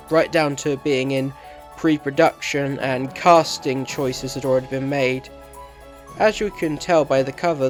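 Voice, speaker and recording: Male video reviewer, slightly high voice, scripted commentary, faint music behind.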